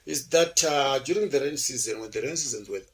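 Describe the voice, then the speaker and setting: A man's voice: mid-range voice, animated, fairly quick, a bit bright. A man from Zimbabwe, a university lecturer being interviewed, telling his life story in a chatty, digressive way with many fillers and restarts.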